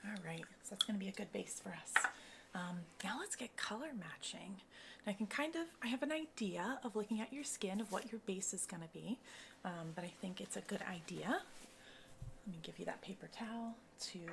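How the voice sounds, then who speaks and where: Woman, soft-spoken ASMR, breathy near-whisper, crackly vocal fry, casual reassuring consultant manner, noisy recording. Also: mid-range voice, moderate pace. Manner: casual, reassuring, step-by-step explaining. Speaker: cosmetics consultant in a roleplay, ASMR creator. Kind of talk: soft-spoken ASMR personal-attention roleplay.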